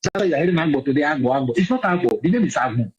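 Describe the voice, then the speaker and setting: A man's voice: on the high side, lively intonation, rapid-fire, breathy edge, poor audio. A man making an impassioned, insistent speech, repeating his points for emphasis, heard as a played clip.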